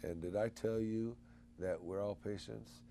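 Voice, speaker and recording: A man co-hosting a call-in show, animated and folksy, rallying listeners in a casual way. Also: lowish voice, unhurried, gravelly, a little hiss or hum. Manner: animated, folksy, preachy promoting. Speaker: co-host.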